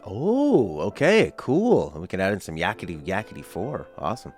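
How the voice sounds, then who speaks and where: A man casually commenting while streaming a video game, chatty and breaking into sudden excited exclamations. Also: on the low side, normal speed. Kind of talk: casual let's-play commentary. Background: game music and sound.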